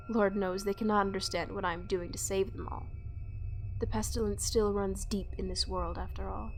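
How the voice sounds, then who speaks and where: A woman's voice: mid-range voice, darkish tone, lightly acted. A woman playing a cold, obsessive plague doctor creature in a horror roleplay, speaking in formal, old-fashioned, condescending phrases. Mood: suspicious, curious. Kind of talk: scripted horror ASMR roleplay.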